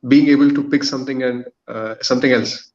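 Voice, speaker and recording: A man's voice: mid-range voice, fairly even intonation, brisk, a little mellow. A man in his thirties speaks on dull, lo-fi audio as a podcast guest. He explains in a thoughtful, structured way, often hesitating as he builds his answer. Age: 30s. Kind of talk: thoughtful panel discussion answer.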